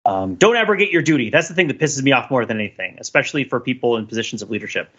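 A man with a bright, forward voice speaks as a podcast co-host in casual panel chat. He is animated and emphatic, rambling through his opinions with frequent asides. The recording is a little dull and lo-fi. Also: medium pitch, racing, husky.

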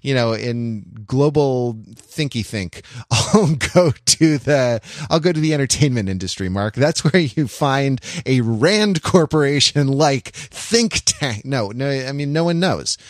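A man's voice: medium pitch, animated, unhurried, hardly any pauses, no room sound. Chatty podcast man, dry close sound. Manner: rambling, many fillers and restarts, jokey asides. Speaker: podcast co-host. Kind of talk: casual conversational commentary.

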